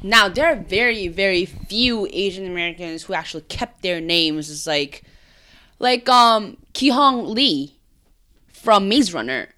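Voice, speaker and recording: A woman of Korean background talks through her views in casual podcast chat, earnest and full of "like". Her voice is bright and a little sharp, at an ordinary pitch. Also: animated, unhurried. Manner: earnest, explains with many likes. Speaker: podcast co-host.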